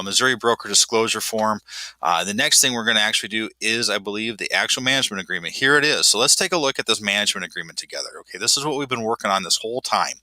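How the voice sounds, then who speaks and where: A man's voice: lowish voice, pushed and bright, no echo, low-quality recording. A man with a nasal voice, a property manager talking a client through a screen walkthrough in a casual, self-deprecating way, with many fillers and restarts.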